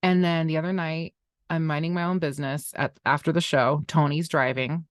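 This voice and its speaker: A woman with a notably low voice chats with a friend on a podcast, jumping between stories with excitement and frequent restarts. Her voice is recorded dry and close, with no room sound. She is middle-aged and talks in a loose, animated way. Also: a little fast, no room sound. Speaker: podcast co-host. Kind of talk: casual chat.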